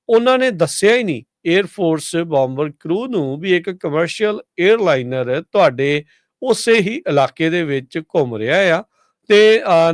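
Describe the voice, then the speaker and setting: A man with a Punjabi accent presenting a radio news report in a conversational, halting way with frequent hesitations. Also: a little high, no echo, clean studio sound.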